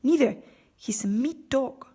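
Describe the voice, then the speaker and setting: A woman's voice: high-pitched, expressive, unhurried, dark tone, pitched above the performer's own voice. A woman voicing a brave, indignant little girl from a storybook, with a direct way of talking. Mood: matter-of-fact. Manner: scolding, direct. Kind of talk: storybook character voice.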